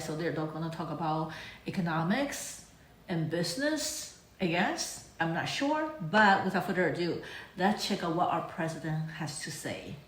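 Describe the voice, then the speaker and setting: A woman hosting a reaction video with casual commentary, speaking as an enthusiastic, admiring fan. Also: fairly low pitch. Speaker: reaction video channel host. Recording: echoey room.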